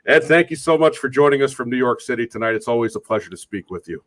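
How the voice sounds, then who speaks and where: A man hosts a sports talk show with a mid-pitched voice. He delivers commentary in a measured, orderly manner, turning directly to his co-host as he moves things along. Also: lively intonation, fairly bright tone.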